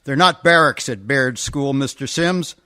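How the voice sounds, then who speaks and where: An actor playing a stern, commanding official in a radio comedy, curt and scolding. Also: male voice, medium pitch, a little slow, bright, forceful tone, clear, resonant tone.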